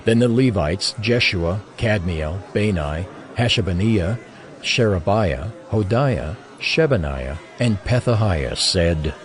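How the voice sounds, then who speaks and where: A man reading scripture as the narrator of an audio drama, in a measured, formal manner. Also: deep voice, expressive.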